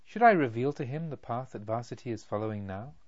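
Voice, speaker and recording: A man acts out a wise spiritual master from a storybook. He is calm and detached, offering measured sayings in a serene manner. His pitch sits in the middle, and the sound is a bit dull. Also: no echo, natural voice. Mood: pondering.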